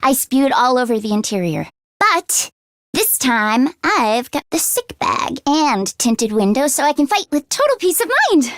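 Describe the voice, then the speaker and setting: A teenage girl mechanic from a video game, with a high, youthful voice and a close, dry sound. She is a friendly tomboy, earnest and a little anxious, and speaks casually and expressively. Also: very animated, a little slow, no room sound. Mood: nervous, determined. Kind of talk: English video game voice acting.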